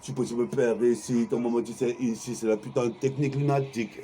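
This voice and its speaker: A man's voice: mid-range voice, fairly even intonation, fast, a little hiss or hum. A French man in his forties improvising a freestyle rap in a rhythmic, rhyming flow, half-singing some lines. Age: 40s. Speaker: freestyle rapper. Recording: rap over loud backing music.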